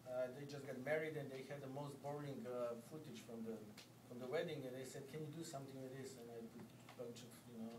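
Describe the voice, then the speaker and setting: A man's voice: medium pitch, fairly even intonation. Man from Serbia answering festival questions. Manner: halting, non-native phrasing. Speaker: filmmaker on a festival panel. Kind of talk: casual Q&A answers. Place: festival screening room. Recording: echoey room mic from far off, noisy.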